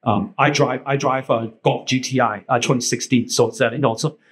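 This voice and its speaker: A man's voice: mid-range voice, fairly even intonation, brisk, fairly bright tone. Chatty man, Cantonese native. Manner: rambling, friendly, many "right?" tags. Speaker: panelist. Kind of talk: casual personal sharing. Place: meeting room panel table. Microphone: handheld mic.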